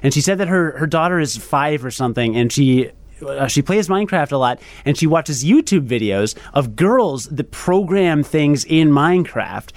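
A man in his thirties in a casual group chat, animated and rambling with restarts. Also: fairly high pitch, normal speed, no room sound. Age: 30s. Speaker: panelist.

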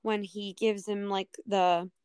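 A woman's voice: medium pitch, little pitch movement, slow, darkish tone, smooth, dry recording, clean studio sound. A woman co-hosting a podcast, talking casually about a TV series. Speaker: podcast co-host.

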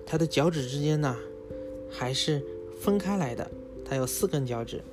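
A man's voice: fairly high pitch, expressive, normal speed. A man, a father, explains things step by step to his young child in casual talk, often checking in with little questions. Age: adult, father of a young child. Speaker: father and host teaching his child about animals. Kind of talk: casual educational chat with a child, explaining from a book. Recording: music behind the voice.

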